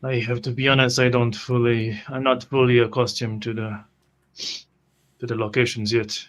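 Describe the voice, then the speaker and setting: A man's voice: medium pitch, little pitch movement, moderate pace, fairly bright tone. A man improvising in character as a tabletop roleplay player, with polite, formal phrasing.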